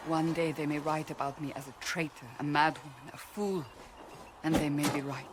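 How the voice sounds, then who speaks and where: An actress playing a blunt, devout warrior woman in a video game, speaking gravely and deliberately in formal phrases. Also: sits low, steady tone, background noise, close to an everyday voice. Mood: troubled. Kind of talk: dramatic video game dialogue.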